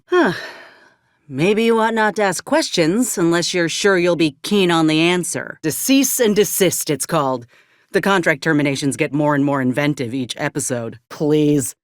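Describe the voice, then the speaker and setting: A woman's voice: low voice, dry recording. A woman playing a tough, weathered soldier in a video game, with a folksy, dry, plainspoken manner. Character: tough, wry, weathered female soldier companion in space. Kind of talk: video game character dialogue.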